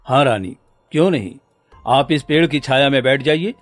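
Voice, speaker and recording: A man's voice: medium pitch, normal speed, dry recording, soft background music, lightly acted. A man playing a kind, courteous fairy-tale king in a cartoon, speaking in a formal and caring manner.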